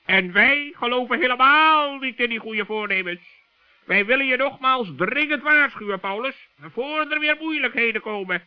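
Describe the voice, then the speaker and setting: A man's voice: extremely high pitch, moderate pace, smooth, no echo. A man playing a chatty, suspicious, blundering male raven in a children's radio play. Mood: warning. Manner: blurting, excitable, suspicious. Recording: dull, dry old radio recording.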